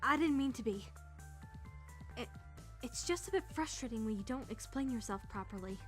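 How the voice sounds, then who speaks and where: Casting: woman to play sarcastic, nervy teenage girl lead for visual novel, higher-pitched, expressive narration and dialogue, music underneath. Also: normal speed, natural voice. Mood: flustered panic. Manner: expressive, sarcastic, stammering when nervous. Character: flustered, sarcastic teenage girl trainee narrating her thoughts.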